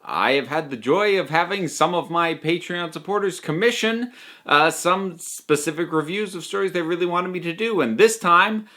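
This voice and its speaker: Bright-voiced, animated man reviewing. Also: on the high side. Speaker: audio drama reviewer. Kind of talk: conversational review commentary.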